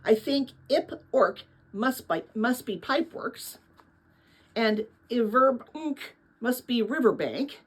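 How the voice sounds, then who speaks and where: A kindly, reflective woman from a storybook, as a female reader plays her. Her voice is fairly high and slightly husky, with lively rises and falls. She speaks earnestly, with sadness and hope. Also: slow, heavily acted. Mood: thoughtful, gentle. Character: kindly, thoughtful adult woman, sad and hopeful.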